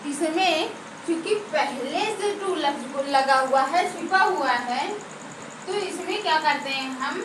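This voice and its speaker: A woman with an Indian accent giving an informal grammar lesson as a teacher, explaining step by step and often checking understanding with questions. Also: sits high. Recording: roomy echo, distant mic, clear background noise.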